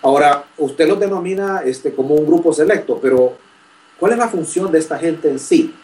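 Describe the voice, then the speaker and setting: A man's voice: fairly high pitch, animated, normal speed, a little mellow, distant mic. A young man from Honduras, a graduate student politely asking a question, formal and hesitant with many fillers. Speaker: graduate student, first-time participant. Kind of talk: asking a question in an online chat.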